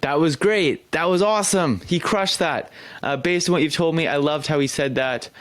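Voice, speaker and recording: A man's voice: on the high side, normal speed, no room sound. A man commenting on a recorded sales call as a coach, critiquing bluntly and praising with enthusiasm. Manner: direct, critiquing, animated praise. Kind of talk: commentary over a video.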